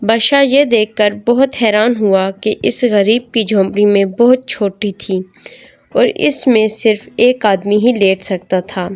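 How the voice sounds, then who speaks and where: A woman's voice: mid-range voice, measured pace. Woman narrating a story over music. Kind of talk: scripted moral story narration. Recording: music behind the voice.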